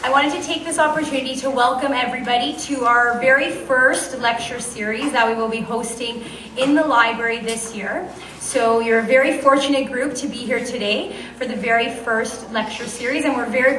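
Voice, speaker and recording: A woman school librarian opening a lecture event with a bright, welcoming announcement to students. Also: fairly high pitch, fairly even intonation, moderate pace, slight background noise. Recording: distant, roomy school library.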